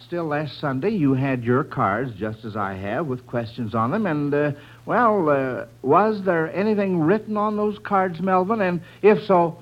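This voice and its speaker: High-pitched folksy American radio quiz man. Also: animated, measured pace, hardly any pauses. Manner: folksy, chatty, addressing children and listeners as friends. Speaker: quiz show host. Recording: muffled vintage radio broadcast.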